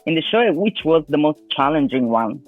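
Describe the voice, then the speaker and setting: A woman's voice: deep voice, animated, brisk. A woman asking questions as a press interviewer. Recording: thin phone-like sound, music behind.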